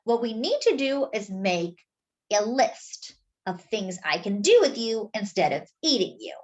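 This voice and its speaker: A woman's voice: medium pitch, very animated, unhurried, a little mellow. A woman voicing a kindly, reasonable, slightly fussy man in a children's storybook, earnest and reassuring.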